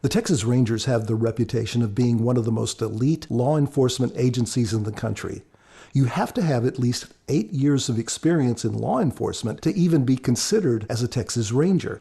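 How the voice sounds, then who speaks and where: Husky, mellow-voiced man giving commentary. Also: mid-range voice, animated, brisk. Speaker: author, podcast guest. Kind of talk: scripted commentary.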